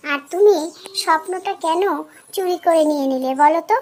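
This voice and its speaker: A woman's voice: extremely high pitch, normal speed, a little mellow. A woman voicing a plaintive young boy in a children's cartoon, speaking in a repetitive, childlike way. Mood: upset, pleading. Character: whiny young boy who loves chocolate dreams.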